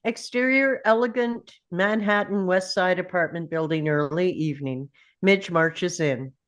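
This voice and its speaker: A woman's voice: fairly low pitch, a little slow. A woman reading scripted stage directions as narrator at a table read.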